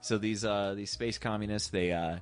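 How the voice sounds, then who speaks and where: A man chatting casually as a podcast co-host, retelling a plot haltingly with some swearing. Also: on the low side, fairly even intonation, clean tone, soft background music. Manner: hesitant, halting, profane.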